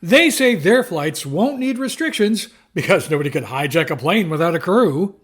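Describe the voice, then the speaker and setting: A husky, high-voiced male reporter. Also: expressive, moderate pace. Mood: keen, breezy. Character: breezy, reassuring male newspaper reporter. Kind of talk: audio drama acting.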